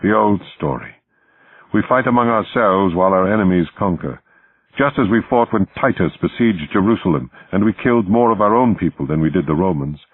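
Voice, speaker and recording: A man's voice: deep voice, lively intonation, a little fast, husky. A man voicing a fatalistic woman prisoner, resigned and lecturing, in an audiobook. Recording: muffled, phone-like, roomy audio.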